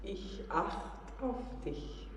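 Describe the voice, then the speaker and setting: A woman playing an ancient, yearning spirit in a poetic radio drama, speaking in a hushed, solemn incantation. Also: extremely slow. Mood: quiet, commanding. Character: ancient otherworldly spirit, yearning, majestic and possessive. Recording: long reverberant hall.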